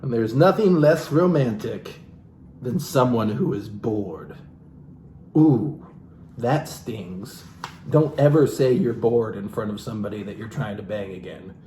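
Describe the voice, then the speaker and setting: A man's voice: on the low side, unhurried, dark tone. A man reading a self-help book aloud in a theatrical, playful way, breaking off into wry, joking asides of his own. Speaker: comedic reader and commentator. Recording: echoey room with background hiss.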